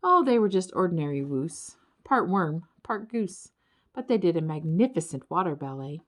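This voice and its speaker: A woman narrating a children's storybook in an expressive, dramatic storytelling way. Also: fairly low pitch, moderate pace. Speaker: audiobook narrator.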